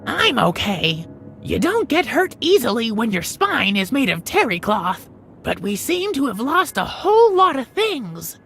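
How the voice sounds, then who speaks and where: A woman's voice: fairly high pitch, big pitch swings, measured pace, creaky, a bit bright, faint music behind, exaggerated voice. A woman voicing a cheeky, carefree toy rabbit in a cartoonish adventure game. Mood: chirpy. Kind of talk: cartoonish game character acting.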